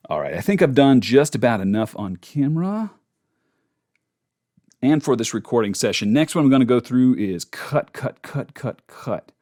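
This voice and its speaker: A man's voice: mid-range voice, sing-song, normal speed, a little mellow. A man talking casually and informally as he walks through a voice-over tutorial. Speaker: voice actor giving a tutorial. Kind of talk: casual instructional chat.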